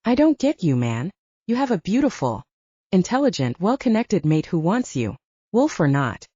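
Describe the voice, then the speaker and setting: A woman's voice: fairly low pitch, sing-song, moderate pace, warm tone, husky, no room sound, lo-fi sound. A woman voicing a commanding, exasperated older brother, an alpha werewolf, with a stern, patronizing manner in an audiobook.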